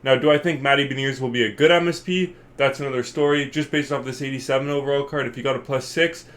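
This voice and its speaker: A man with a stuffed-up, congested voice talks through his video game predictions in a loose, rambling way. He fills his speech with ums and uhs and thinks out loud. His pitch sits right in the middle for a man. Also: fairly even intonation, fast. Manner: rambling, filler-heavy, thinking aloud. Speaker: gaming channel host. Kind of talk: casual video game commentary.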